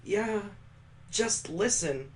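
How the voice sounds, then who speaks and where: Visual novel little girl, gentle and childlike, high soft voice male reader acting her out. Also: lively intonation, very slow, heavily acted. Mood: quietly sure. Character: sweet little girl who loves singing.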